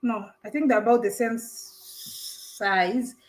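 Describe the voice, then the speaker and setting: A woman's voice: a little high, steady tone, slow pace. A woman hosting a live stream, chatting casually with a caller and laughing often while she unboxes a camera.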